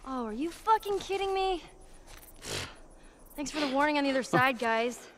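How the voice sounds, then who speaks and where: Sarcastic american teen girl in a game, blunt, high voice, noisy background. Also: expressive, moderate pace. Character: feisty, sarcastic, foul-mouthed teenage girl survivor. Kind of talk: video game cinematic acting. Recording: game audio with background noise, clicks.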